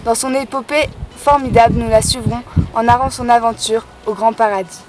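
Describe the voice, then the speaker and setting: A woman's voice: fairly high pitch, steady tone, moderate pace, rough recording. A teenage girl opening a camp film with a scripted, storybook-like narration. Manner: storybook-like, earnest. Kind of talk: scripted narration read aloud. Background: music.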